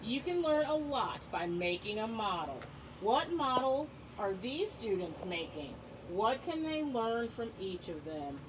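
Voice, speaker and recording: A woman teacher reading a book aloud to young children, encouraging them and pausing to ask questions and explain things simply. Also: a little high, sing-song, noisy background. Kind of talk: read-aloud lesson with asides and questions to children.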